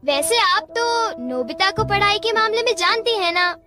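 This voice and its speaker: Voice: very high-pitched, lively intonation, normal speed. An actor voicing a chirpy, well-meaning robot cat sidekick in a Hindi cartoon dub, chatty and helpful. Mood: blithely tattling. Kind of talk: Hindi-dubbed cartoon acting. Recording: loud music under the voice.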